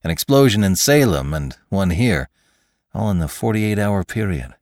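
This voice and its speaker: Storybook scientist, as a male narrator plays him: eager, effusive, innocent, very animated delivery, dry recording. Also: lowish voice, normal speed, dry recording, pitched above the performer's own voice, natural voice. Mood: chatty, informative. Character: excitable, gushing, naive male scientist.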